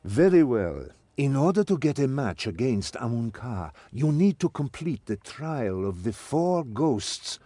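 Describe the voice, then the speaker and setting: Stern old game mentor, theatrical, slow pace, swoopy pitch, dry sound. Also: male voice, sing-song, warm tone, no room sound. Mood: testing, instructive. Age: older. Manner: theatrical, commanding. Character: stern old male mentor, servant of a master. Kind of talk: scripted game cutscene acting.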